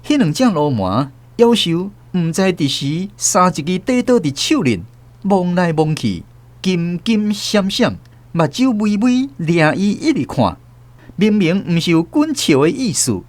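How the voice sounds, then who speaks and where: A male narrator telling a radio drama story with a lively, swinging voice that sits fairly high for a man. His storytelling is animated. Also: a little slow. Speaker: host and narrator.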